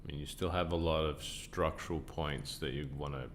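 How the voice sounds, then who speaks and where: Croaky, deep-voiced tired man. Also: moderate pace, slight background noise. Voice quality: croaky, deep from sinus trouble. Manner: relaxed, answers chat questions. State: tired, up a long time. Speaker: livestream trading host. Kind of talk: casual live-stream chat.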